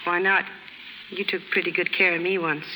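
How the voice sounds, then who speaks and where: An American actress playing a brash, warm-hearted young working-class woman in a 1930s radio drama, slangy, ungrammatical and cheeky. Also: on the low side, little pitch movement, normal speed. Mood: caring, frank. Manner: slangy, ungrammatical, cheeky, putting on airs at times. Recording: hissy 1930s radio recording, reverberant stage.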